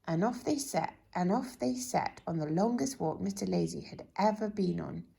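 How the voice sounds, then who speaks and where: A woman reading a children's bedtime story expressively, in a gentle, drawn-out way that drops into hushed tones. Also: on the low side, creaky. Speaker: storybook reader.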